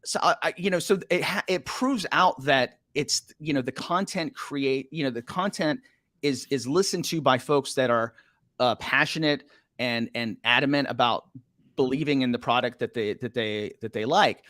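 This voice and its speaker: A middle-aged man hosts a podcast interview in a dry, close-up sound. His voice sits at a middle pitch, and he asks long rambling questions full of restarts. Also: normal speed, a bit bright, no room sound. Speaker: podcast host. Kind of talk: casual interview talk.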